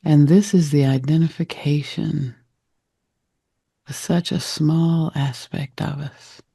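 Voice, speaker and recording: A man guiding a meditation in a gentle, reflective manner, with deliberate short phrases and a soft, husky, gravelly voice. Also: a little high, steady tone, no echo, clean studio sound. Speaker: meditation guide. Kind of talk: guided meditation talk.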